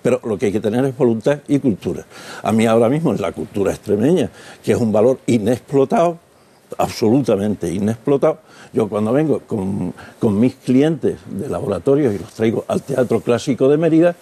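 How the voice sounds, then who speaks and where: Older man with a husky, mellow voice. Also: mid-range voice, expressive, brisk. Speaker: advertising executive guest. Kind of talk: studio panel discussion. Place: TV studio set. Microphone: lapel mic.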